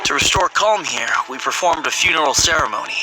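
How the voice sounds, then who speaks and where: A young man working at a funeral parlor in a video game, with a lively, slightly high voice. He explains things formally and politely, in an apologetic way, over loud music. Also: a little fast, poor audio. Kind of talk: video game character acting.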